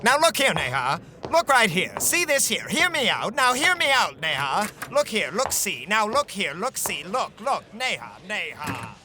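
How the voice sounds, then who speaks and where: A man's voice: high-pitched, huge pitch swings, measured pace, pushed and bright, slight background noise, heavily acted. A man playing an earnest, pushy reporter in the insistent patter of a 1930s radio comedy. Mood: pleading. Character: earnest, pushy young newspaper reporter, pleading his case.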